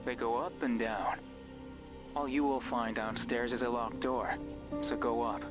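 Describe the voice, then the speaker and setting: An actor playing a mysterious, controlling friend who coaxes and instructs the listener over a radio in a horror game. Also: male voice, medium pitch, steady tone, measured pace, dull audio, lightly acted. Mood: guiding, reassuring. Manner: instructing, coaxing, addresses listener directly. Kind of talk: horror visual novel voice acting. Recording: music behind the voice.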